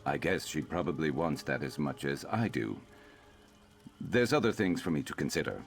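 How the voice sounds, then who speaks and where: Weary lizard-folk fighter for a fantasy game: extremely low, gravel-throated, guarded and plainspoken. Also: male voice, expressive, normal speed, soft background music, heavily acted. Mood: troubled, reflective. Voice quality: very deep, gravelly. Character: weary, guarded male Argonian lizard-folk warrior, former slave. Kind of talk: video game character dialogue.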